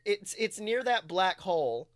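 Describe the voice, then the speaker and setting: A man co-hosting a casual film chat, talking in an excitable, slangy way and swearing freely. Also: high voice, measured pace, dry recording. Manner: self-described nerd, animated. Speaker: podcast co-host.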